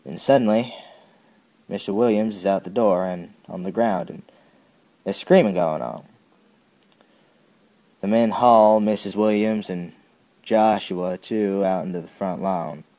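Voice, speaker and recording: Man acting young Southern soldier, low-pitched, soft dark tone, folksy, halting, bitter, grieving, audio drama monologue. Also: poor audio. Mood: shaken recounting. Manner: plain-spoken, folksy, halting storytelling.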